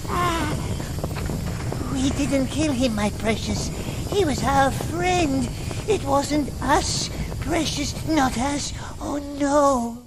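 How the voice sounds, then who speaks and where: An actor voicing a wretched, scheming cave creature in a video game, with a strangled raspy whine and hissing, broken speech. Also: male voice, extremely high pitch, big pitch swings, slow pace, big-room sound, heavily acted. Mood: pleading, anguished. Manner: hissing plural self-talk, broken grammar. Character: wretched, scheming, whining cave creature obsessed with his treasure. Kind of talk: theatrical video game acting. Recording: loud music behind the voice.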